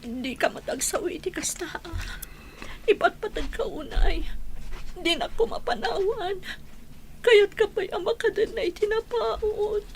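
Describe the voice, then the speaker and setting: A woman's voice: very high voice, unhurried, gravelly, a little hiss or hum, exaggerated voice. A woman playing a devoted, grieving wife in a radio drama, with a tearful, emotional delivery. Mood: worried, pleading.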